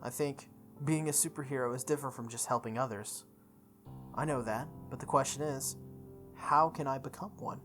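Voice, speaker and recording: Reader narrating a visual novel over music. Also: female voice, rumbling low, moderate pace. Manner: stumbles and re-reads lines. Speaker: game playthrough host. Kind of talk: reading visual novel text aloud. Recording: music behind the voice.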